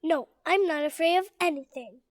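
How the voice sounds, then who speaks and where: A small boy acted by a woman in a radio soap drama, with a high-pitched childlike voice. He is anxious underneath but insists stubbornly that he is brave. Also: normal speed. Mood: reluctant, anxious.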